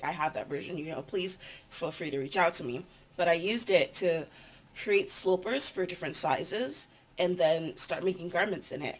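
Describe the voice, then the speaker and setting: A young adult woman with a nasal voice, chatting casually to her vlog audience in a meandering, filler-filled way. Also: fairly low pitch. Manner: chatty, meandering, with frequent ums and likes. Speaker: vlogger.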